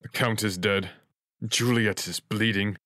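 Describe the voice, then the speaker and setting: A man's voice: on the low side, fairly even intonation, unhurried, fairly bright tone, no room sound, clean studio sound. A man playing a stern chief watchman in an audio drama, authoritative, giving clipped orders. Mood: grave. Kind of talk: audio drama acting of modernized Shakespeare.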